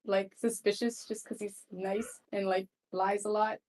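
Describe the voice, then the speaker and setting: A woman's voice: medium pitch, little pitch movement, a little slow, darkish tone, rough recording. A woman chatting casually as a player in a tabletop roleplay game. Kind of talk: casual chat.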